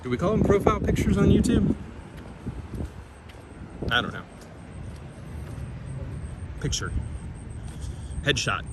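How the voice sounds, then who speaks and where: A man's voice: sits high, sing-song, slow, pauses often. A middle-aged man from Kentucky with a slight Southern twang and drawl, chatting with viewers as he walks through a city. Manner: friendly, reads out viewer comments. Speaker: walking vlog host. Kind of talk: live-stream chat while walking. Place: outdoors on a city street. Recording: street noise behind the voice. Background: traffic noise.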